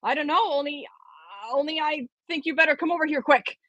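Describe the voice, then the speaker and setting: Storybook role: eager young boy, played by a woman, excitable, noticeably high-pitched, dry recording. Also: brisk, dry recording, muffled recording. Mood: excited, urgent.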